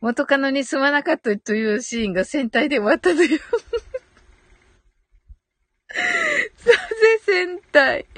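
A woman's voice: high voice, no room sound. A woman hosting a casual live audio show, chatting with listeners in a giggly way and thinking aloud as she goes.

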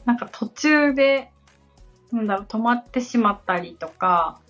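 A woman's voice: on the high side, animated, moderate pace, fairly bright tone. Female podcast co-host, polite and conversational, simple phrasing. Slightly lo-fi sound over background music. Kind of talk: conversational podcast talk, answering questions.

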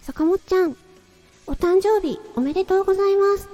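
A woman's voice: very high voice, a little fast, dark tone, faint music behind. A woman hosting her own chatty audio show, speaking in an effusive, polite and emphatic way. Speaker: host of a personal audio show. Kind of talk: chatty solo audio broadcast, birthday tribute.

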